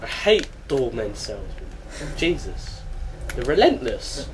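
A young man chatting casually as a gaming co-host, excitable, given to jokey complaining and yelling. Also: medium pitch, unhurried. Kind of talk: casual gameplay banter. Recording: clear noise, game sound behind. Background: video game sounds.

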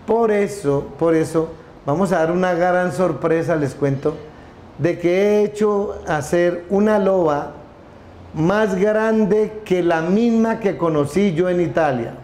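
A middle-aged man tells a long story as a guest, stumbling and restarting often. His voice sits a little high. The room gives it a noticeable echo, with faint noise underneath. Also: slow pace, darkish tone. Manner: rambling, many restarts and repeated words. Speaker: company founder, interview guest. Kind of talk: casual storytelling interview. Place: room with wooden wolf statue, indoors.

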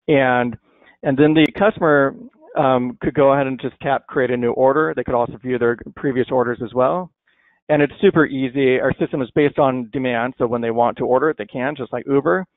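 A man walks through laundry software in a relaxed, conversational webinar style, often restarting his sentences with an "um". His voice sits at a middle pitch and comes through a tinny, narrow line with a dry, close sound. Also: no room sound. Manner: conversational, many fillers and restarts. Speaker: software presenter. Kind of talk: webinar product walkthrough. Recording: dry tinny phone-like audio.

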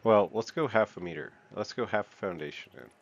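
A man's voice: lowish voice, a little fast, lo-fi sound. A man hosting a gaming channel, talking to himself casually as he builds, counting under his breath and thinking aloud. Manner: counts and mutters while building, self-talk. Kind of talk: casual let's-play commentary.

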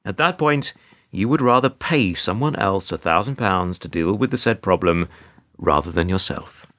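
An actor in a radio play playing a world-weary, put-upon hotel receptionist who talks in a wry, resigned, long-winded formal way. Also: male voice, sits low, animated, moderate pace, fairly bright tone, dry recording, studio quality. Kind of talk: radio play monologue and dialogue.

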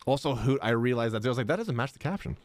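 A man's voice: mid-range voice, expressive, very fast. A man in his thirties giving casual live commentary as he plays, close on a desk microphone. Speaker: game streamer. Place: indoor gaming room. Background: faint game music.

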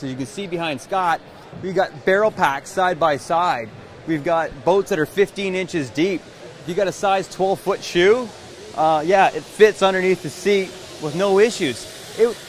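A man in his forties, a canoe maker, giving an upbeat pitch in an interview at an indoor trade show booth, speaking into a handheld mic. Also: a little hiss or hum. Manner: enthusiastic sales pitch. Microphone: handheld mic held by interviewer.

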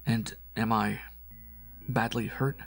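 A man's voice: lowish voice, steady tone, takes it slowly, gravelly, a bit bright, breathy edge, faint music behind, full character voice. A man voicing a wounded male space scout, subdued and earnest, in a science fiction story. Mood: subdued, questioning.

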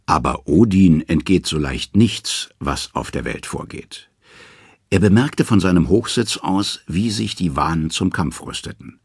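A man narrating mythological tales from a script in a measured, dramatic storytelling manner. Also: deep voice, lively intonation, husky, no echo, clean studio sound.

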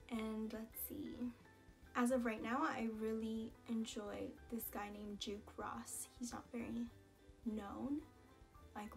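A young woman with a slightly high voice takes her time, chatting easily and dropping in little asides as she answers questions. She sits in a small room facing the camera, with faint music underneath. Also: gravelly. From Canada. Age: young adult. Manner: chatty, relaxed, many fillers and little asides. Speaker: singer and vlogger. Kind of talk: casual Q&A vlog. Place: small indoor room against a plain wall. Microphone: camera in front at head-and-shoulders framing.

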